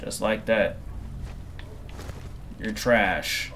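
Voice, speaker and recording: A man in his twenties commentating on a game through a headset, excitable and slangy, repeating himself. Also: fairly low pitch, extremely slow, slight background noise. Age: 20s. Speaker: gaming streamer. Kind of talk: casual gameplay commentary.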